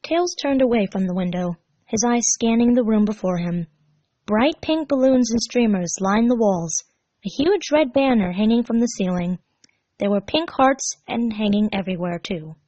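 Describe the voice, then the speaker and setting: A woman reading a scripted story aloud as narrator, measured and expressive. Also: mid-range voice, no echo, highs cut off. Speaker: fan fiction narrator.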